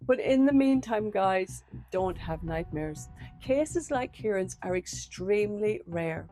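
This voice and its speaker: A middle-aged woman, a former detective, hoarse from a cold, walking viewers through a true crime case in a measured, earnest way. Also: mid-range voice, lively intonation, warm tone, soft background music.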